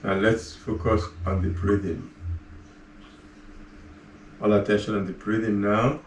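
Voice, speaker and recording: A man leading a guided relaxation, giving slow, soft, calm cues with long pauses between them. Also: on the low side. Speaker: meditation guide. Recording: roomy echo, voice far from the mic, faint ambient hum.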